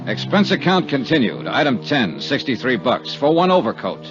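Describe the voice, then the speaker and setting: An American actor playing a stubborn, sardonic investigator in a 1950s radio detective drama, with a wry, clipped, hard-boiled delivery. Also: male voice, mid-range voice, wildly swooping pitch, moderate pace. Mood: wry, brooding. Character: stubborn, sardonic male insurance investigator narrating his case. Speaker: lead actor and narrator. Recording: dull 1950s radio recording with music.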